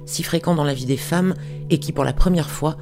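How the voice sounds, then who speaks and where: A radio host introducing a guest and asking measured, formal questions. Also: medium pitch, over music.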